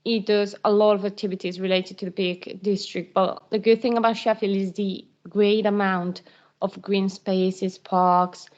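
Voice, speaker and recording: A woman's voice: medium pitch, flat delivery, moderate pace, darkish tone. Young woman, muffled call audio. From Spain. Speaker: student ambassador. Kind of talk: informal presentation on a virtual call. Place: online video call. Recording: dull, lo-fi online call audio.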